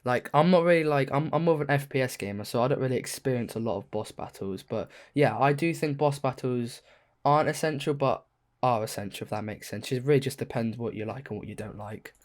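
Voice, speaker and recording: Male podcast panelist, average pitch, casual conversational gamer talk. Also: brisk. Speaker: podcast panelist asking questions.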